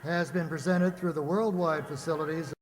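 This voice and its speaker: A man's voice: on the high side, steady tone, moderate pace, faint music behind. A man announcing live on stage for a radio-play group, folksy and conversational, with rambling asides in an echoey, noisy hall. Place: reverberant hall before a live audience.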